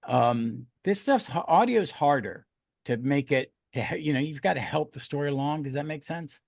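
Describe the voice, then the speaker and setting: A man's voice: a little high, lively intonation, normal speed, vocal fry, darkish tone, dry recording, studio quality. A man hosting a podcast in casual review chat, talking in a rambling, enthusiastic way. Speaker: podcast host.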